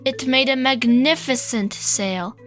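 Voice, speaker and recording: A woman reading a children's picture book aloud, slow, clear and expressive. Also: sits high. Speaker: storybook reader. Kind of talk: read-aloud narration for children. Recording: faint background music.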